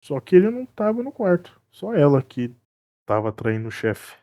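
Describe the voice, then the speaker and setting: A man playing a teasing, self-protective cruise captain in an animated mystery, colloquial and Brazilian-accented. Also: fairly high pitch, normal speed, no echo. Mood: confessing. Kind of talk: animated murder-mystery dialogue.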